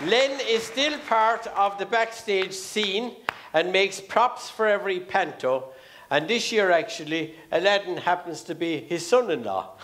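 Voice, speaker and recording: A man with an Irish accent making formal, measured announcements as master of ceremonies on a theatre stage. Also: sits high, animated, fairly bright tone, some chatter behind. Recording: live theatre stage with audience. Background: audience noise.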